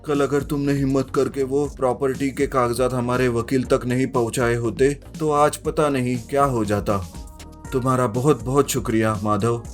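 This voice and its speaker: A man's voice: mid-range voice, fairly even intonation, normal speed, natural voice. A man playing an earnest, polite young man in a narrated story. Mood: grateful. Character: earnest young man in trouble, wealthy heir. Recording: music behind the voice.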